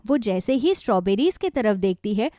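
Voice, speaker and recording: A woman's voice: medium pitch, big pitch swings, normal speed, mellow, dry recording, clean studio sound. A woman narrating a scripted children's story.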